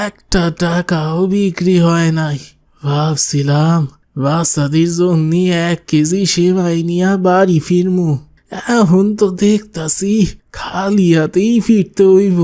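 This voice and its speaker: A woman's voice: deep voice, warm tone. A woman voicing a poor one-legged hawker who complains mournfully in a rural Bangladeshi dialect.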